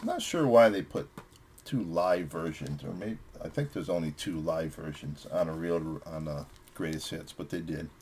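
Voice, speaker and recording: A man's voice: rumbling low, normal speed, a little mellow, slight background noise. A man hosting a music vlog, chatting casually as he reviews records with friends.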